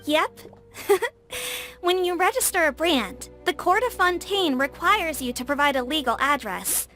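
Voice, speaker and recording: A high, matter-of-fact investigator. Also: female voice, lively intonation, a little slow, sharp tone, faint music behind, exaggerated voice. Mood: confident. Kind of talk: video game voice acting.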